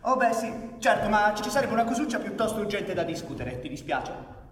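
A man's voice: sits high, sing-song, brisk. A man playing a brash, hot-headed outlaw, crude and mockingly defiant, in a theatrical radio drama. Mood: mocking, exuberant. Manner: sardonic, swearing, theatrical. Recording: roomy echoing stage sound, distant, faint noise.

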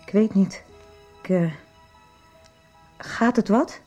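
An actress playing a remorseful woman at a hospital bedside in a radio soap, halting and emotional. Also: mid-range voice, dark tone, faint music behind, natural voice. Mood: hesitant concern.

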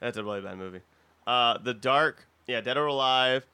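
Bright-voiced man, profane podcast host. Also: mid-range voice, moderate pace, clear, resonant tone. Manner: profane, jokey. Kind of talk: casual comedic podcast chat.

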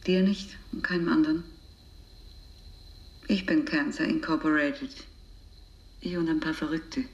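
A slow, low-voiced conspirator woman. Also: steady tone, reverberant, highs cut off. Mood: hushed, intimate. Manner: breathy, half-whispered, deliberate delivery. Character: resolute, bitter underground activist woman confessing on tape. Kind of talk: radio drama monologue.

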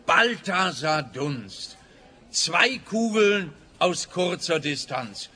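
Comic radio-play police detective, bumbling and self-satisfied: very forceful, piercing tone, slow halting delivery. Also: male voice, on the high side, big pitch swings, husky, heavily acted. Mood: musing, nosy. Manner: rambling, pompous, halting.

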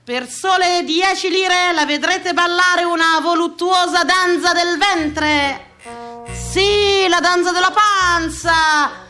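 Shrill, bombastic fairground barker. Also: female voice, pushed and bright, faint music behind, full character voice. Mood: bombastic hawking. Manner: declamatory, drawn-out crying out of phrases. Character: bombastic fairground sideshow barker hawking a spectacle. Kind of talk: theatrical radio monologue.

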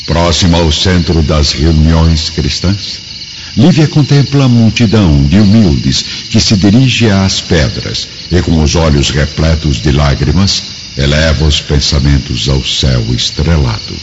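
A Brazilian man gives solemn, measured narration for a radio play. His voice is extremely low. The recording is dull, with clear noisy ambience behind him. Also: expressive. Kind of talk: scripted dramatic radio narration.

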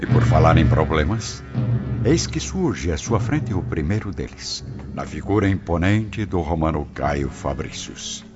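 A man's voice: very deep voice, lively intonation, measured pace. A man narrating a radio drama from a script in a formal, theatrical style. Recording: dull lo-fi radio drama recording. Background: music.

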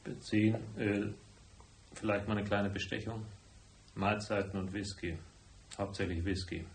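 An actor playing a cool, wisecracking private detective in a noir radio drama, speaking laconic with dry sarcasm. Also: male voice, on the low side, steady tone, a little slow, slight background noise. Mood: cool, sardonic. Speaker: lead actor and first-person narrator.

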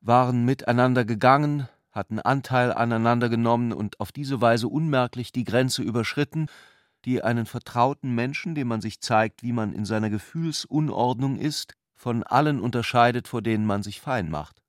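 A man's voice: medium pitch, moderate pace, no echo, clean studio sound. A man narrating a literary radio drama as a scripted storyteller.

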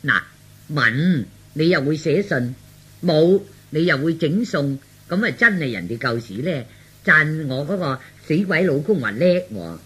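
A man voicing a gossipy, tipsy middle-aged aunt, flattering and frank, chatting with drawn-out interjections in Cantonese radio drama. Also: on the high side, husky, heavily acted. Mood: flattering, delighted.

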